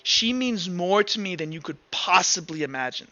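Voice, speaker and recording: A man voicing a cold, brooding, world-weary villain in a tabletop game, with halting, deliberate pauses. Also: sits high, animated, pushed and bright, no room sound, muffled recording, full character voice. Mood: fervent, protective. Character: brooding male villain of a dream world, coldly menacing, world-weary.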